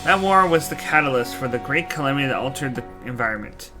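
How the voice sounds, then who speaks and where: A man's voice: mid-range voice, lively intonation, fast, natural voice. A man voicing a courtly old knight reborn as a machine, telling his tale in formal, archaic, solemn speech for a fantasy game. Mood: solemn, proud. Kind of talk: fantasy game character dialogue. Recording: music behind the voice. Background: game music.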